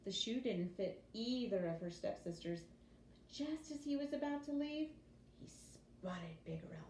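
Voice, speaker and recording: A woman reading a children's story aloud in an expressive teacher's storytelling style. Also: on the high side, a little slow. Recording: roomy echo with faint background noise.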